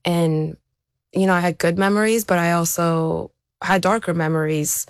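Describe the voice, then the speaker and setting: A woman's voice: lowish voice, steady tone, moderate pace, clear, resonant tone, no echo, studio quality. A woman who is an athlete, giving a reflective personal account as an interview guest. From born in Ukraine, raised there to age seven, then America.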